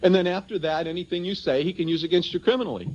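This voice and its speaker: A man's voice: high-pitched, very fast, a little mellow. A man speaking to an audience at a seminar, persuasive and emphatic, telling anecdotes and posing rhetorical questions. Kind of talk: persuasive public seminar talk, addressing the audience. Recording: dull lo-fi seminar tape.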